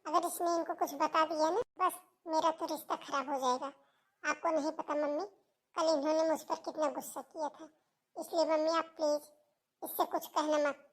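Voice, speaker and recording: Woman playing anxious young wife in a cartoon, high-pitched, pleading tone. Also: little pitch movement, normal speed, natural voice. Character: anxious young married daughter, the sister-in-law. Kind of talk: Hindi cartoon moral story voice acting.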